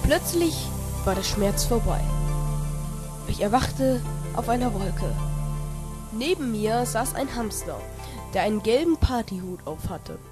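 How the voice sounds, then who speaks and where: A man's voice: high voice, lively intonation, a little slow, loud music behind the voice. A young man narrating an amateur parody audio drama in a dramatic storytelling manner.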